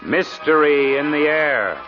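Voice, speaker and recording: A man giving a measured, portentous show introduction as a 1940s radio announcer. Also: on the high side, animated. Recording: muffled old radio recording, loud music behind.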